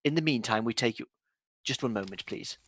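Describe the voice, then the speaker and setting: A man's voice: mid-range voice, brisk. A man playing a composed radio news announcer who reads bulletins formally, in a 1930s-style radio drama. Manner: formal, even bulletin delivery.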